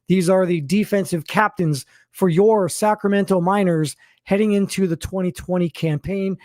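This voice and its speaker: High-pitched man announcing sports team captains. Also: a little mellow, dry recording, clean studio sound. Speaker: sports team general manager and channel host. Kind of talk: team announcement to camera for online followers.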